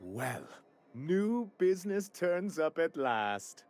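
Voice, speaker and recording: A man's voice: a little high, very animated, slow pace, darkish tone, exaggerated voice. An actor playing a flamboyant, vain male corporate executive who talks in a theatrical, florid way full of innuendo. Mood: grandiloquent.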